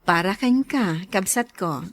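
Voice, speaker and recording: Frank, chiding sister, very animated. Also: female voice, medium pitch, takes it slowly, no echo. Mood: matter-of-fact. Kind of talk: radio drama acting.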